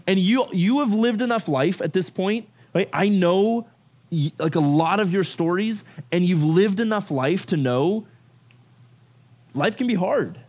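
A man preaching to high schoolers in a room of about fifty, his voice sitting a little high. He grew up in Ecuador. His delivery is loose and conversational, peppered with "like" and quick check-ins of "right?". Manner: casual, animated, filler-heavy with frequent "like" and "right?". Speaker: youth pastor. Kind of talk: youth sermon. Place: youth service room with about fifty people.

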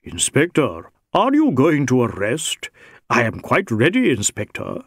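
A man's voice: mid-range voice, huge pitch swings, measured pace, fairly bright tone, husky, dry recording, studio quality, exaggerated voice. A man voicing a big, brawny Sikh rogue in an audiobook, sly and teasing, with wheedling mock deference. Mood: calm defiance. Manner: wheedling mock deference, Indian English phrasing. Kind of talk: audiobook character dialogue.

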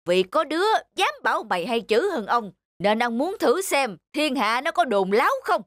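A man's voice: very high-pitched, big pitch swings, normal speed, a bit bright, no room sound, clean studio sound, heavily acted. A man voicing a big, pompous middle-aged scholar in a cartoon, a boastful, hot-tempered bully who sneers and blusters. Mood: sneering.

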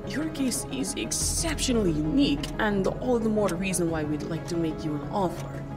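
An actress playing a serious military rehabilitation doctor in an audio drama, formal, clinical and reassuring. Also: female voice, mid-range voice, expressive, normal speed, music in the background, natural voice.

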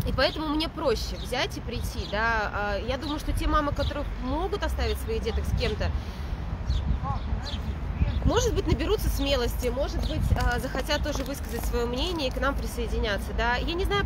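A woman's voice: high voice, expressive, moderate pace. A woman in her thirties from Latvia, giving an earnest, emphatic street interview outdoors, often adding a checking "да". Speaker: protester. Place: outdoors on a city square by a government building. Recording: street with loud traffic and crowd noise.

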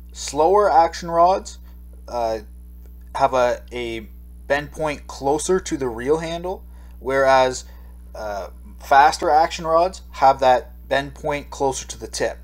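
A man's voice: mid-range voice, lively intonation, takes it slowly. A man narrating a slideshow lesson as a fishing instructor, explaining steadily with occasional fillers. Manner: explanatory, reads steadily with occasional ums and uhs. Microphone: voice-over.

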